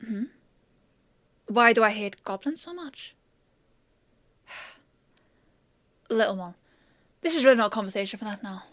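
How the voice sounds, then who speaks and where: A woman playing a flustered, gruff but well-meaning fantasy apothecary in a scripted audio roleplay, with a hesitant, emotive, conversational delivery. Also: mid-range voice, expressive, unhurried, long pauses, a bit bright, no room sound. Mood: apologetic, uneasy.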